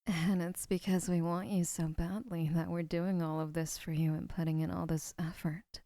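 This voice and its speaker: A woman playing a doting, obsessive young woman who keeps the listener, speaking in a soft, whispery, sweetly possessive way in an audio roleplay. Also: on the low side, little pitch movement, normal speed, no echo, studio quality, close to an everyday voice.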